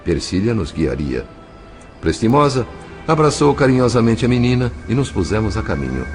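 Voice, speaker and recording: A man's voice: on the low side, sing-song, moderate pace. A man with a Brazilian accent narrating an audio novel in a solemn, literary, carefully phrased style. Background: faint music.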